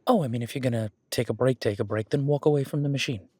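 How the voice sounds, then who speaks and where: A man's voice: mid-range voice, fast talker, warm tone, breathy edge, dry recording, lightly acted. A man playing a friendly, easygoing werewolf boyfriend who coaches his date at the gym in a casual, encouraging, rambling roleplay audio. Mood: instructive, relaxed. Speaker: gym partner giving workout guidance. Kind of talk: roleplay audio drama, one-sided casual conversation.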